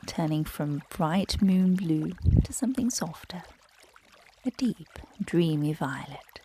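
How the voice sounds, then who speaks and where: A woman guiding children through a relaxation and bedtime story in a gentle, soothing, breathy voice that often drops close to a whisper. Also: low-pitched, a little hiss or hum. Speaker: meditation guide and bedtime storyteller.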